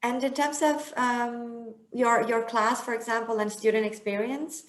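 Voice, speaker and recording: A woman hosting a webinar Q&A on a video call, talking in a halting way with many um fillers. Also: on the high side, fairly even intonation. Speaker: webinar host. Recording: roomy video-call sound.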